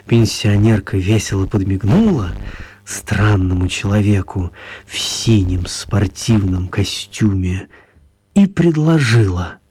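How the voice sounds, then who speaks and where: A man with a husky voice narrating a scripted children's radio play as the storyteller. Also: on the low side, takes it slowly, mellow.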